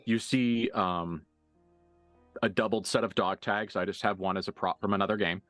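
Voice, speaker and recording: A man working as game master, improvising narration for a tabletop roleplaying game with deliberate, halting phrasing and dramatic emphasis. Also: fairly low pitch, steady tone, fairly bright tone, dry recording. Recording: dry voice over background music.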